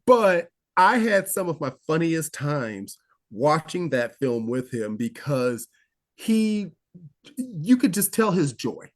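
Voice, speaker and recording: A man's voice: fairly high pitch, big pitch swings, takes it slowly. A man, a guest actor, recalling a film shoot in an interview, enthusiastic and rambling with restarts. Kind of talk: interview recollection.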